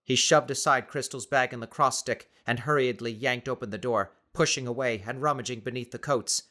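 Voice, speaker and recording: A man's voice: mid-range voice, a little fast, pushed and bright. A man reading scripted fiction as a dramatic audiobook narrator.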